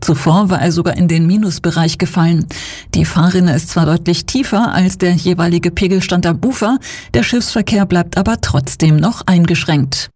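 Measured female newsreader. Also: lowish voice, slight background noise. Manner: even, measured. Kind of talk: scripted news reading.

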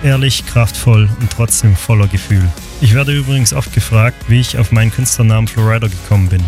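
A man's voice: fairly low pitch, brisk. A man from southern Germany hosting a country music radio show, speaking in an earnest, personal way between songs. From Hohenlohe, Baden-Württemberg, Germany. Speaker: radio host. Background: music bed.

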